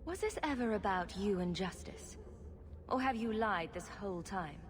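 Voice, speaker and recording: An actress playing a confident adventurer heroine in a video game, speaking in a wry, direct, probing way. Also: female voice, medium pitch, animated, moderate pace, slight background noise, close to an everyday voice. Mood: suspicious. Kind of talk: dramatic video game acting.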